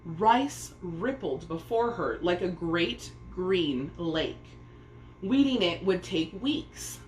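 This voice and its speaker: Slow, animated woman reading a storybook. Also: fairly low pitch. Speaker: storybook reader. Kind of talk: expressive read-aloud children's story narration. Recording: echoey room with faint noise.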